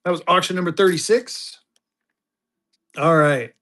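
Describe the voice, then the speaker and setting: A man's voice: high-pitched, unhurried. Chatty man selling on a live stream. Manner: chatty, excitable, jokey. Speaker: live-stream auction seller.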